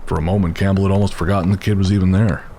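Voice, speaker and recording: A man's voice: sits low, little pitch movement, very fast, dark tone, a little hiss or hum, lightly acted. A man giving scripted narration as the storyteller of a western horror audio drama. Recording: music bed behind the voice.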